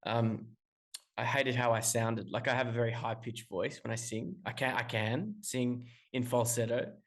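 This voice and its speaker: A man's voice: mid-range voice, moderate pace. Hesitant, rambling man calling in. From Australia. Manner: rambling, hesitant, many restarts and fillers. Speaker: musician guest. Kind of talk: casual interview talk. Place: calling in remotely.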